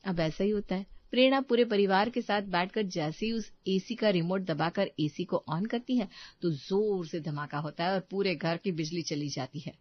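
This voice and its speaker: A woman's voice: fairly low pitch, moderate pace, few pauses, muffled recording. A woman reading a scripted moral story as its narrator.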